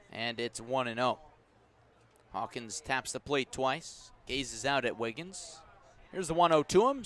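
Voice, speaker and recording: A man's voice: medium pitch, fairly bright tone. Man calling baseball play-by-play on radio. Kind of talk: live radio baseball commentary.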